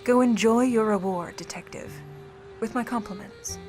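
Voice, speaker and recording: A woman's voice: a little high, moderate pace, darkish tone, faint music behind, lightly acted. A woman as a cool, haughty scheming starlet. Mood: bitter, proud. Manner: haughty, controlled, cutting formal delivery. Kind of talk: old-time noir radio play acting.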